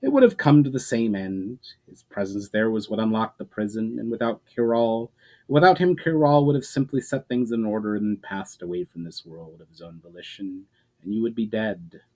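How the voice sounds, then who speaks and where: A man voicing a powerful, coolly patient adversary with measured, condescending menace in a fantasy story reading. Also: on the low side, lively intonation, a little mellow, natural voice, rough recording. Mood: conceding. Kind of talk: dramatic fantasy audiobook reading.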